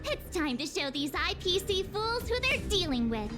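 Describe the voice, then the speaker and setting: A woman's voice: very high-pitched, sing-song, normal speed. An actress voicing a cocky, mocking young female fighter in a video game, with a taunting, scornful manner. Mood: brash, teasing. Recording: game music behind the voice.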